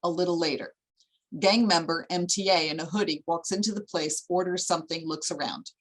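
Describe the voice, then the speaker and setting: A woman's voice: on the low side, fairly quick, rough recording. A woman reading screenplay stage directions as the narrator of a table read.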